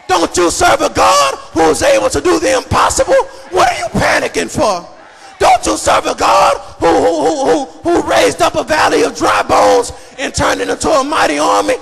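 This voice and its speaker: Male preacher, very high-pitched shouted delivery, repetitive and emphatic, big reverberant hall. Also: big pitch swings, normal speed, vocal fry, faint music behind, a faint crowd. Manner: emphatic, repetitive, cadenced delivery building on repeated phrases. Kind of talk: fervent shouted sermon. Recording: echoey hall reverb with congregation noise.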